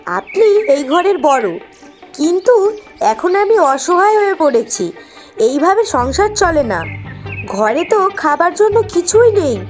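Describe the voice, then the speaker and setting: An exasperated young wife from a cartoon fable, acted by a woman. Her voice is extremely high and swoops in lively complaint, insistent and outspoken, over faint music. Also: takes it slowly, full character voice. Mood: aggrieved, pleading. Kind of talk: cartoon moral-tale character acting.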